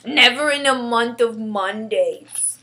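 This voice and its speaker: Woman voicing a loud, stubborn storybook giant. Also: on the high side, slow, poor audio. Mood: dismissive. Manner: muddled grammar, firm and emphatic. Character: big kindly male giant, stubborn, gloomy, mangled grammar. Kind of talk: storybook character voice.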